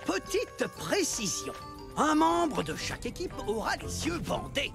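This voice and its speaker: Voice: sits high, sing-song, unhurried, gravelly, full character voice. A performer voicing a cartoon games organizer who proclaims rules to children in a theatrical, rousing manner. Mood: instructive. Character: adult organizer announcing children's friendship games, solemn and rousing. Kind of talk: cartoon voice acting in French dub. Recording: music behind the voice.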